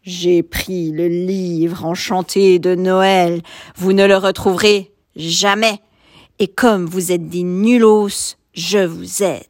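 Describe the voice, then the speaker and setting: A woman's voice: on the low side, fairly even intonation, slow, no room sound, clean studio sound. A woman voicing a spiteful, taunting Christmas-hating thief in a children's story. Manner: taunting, loud.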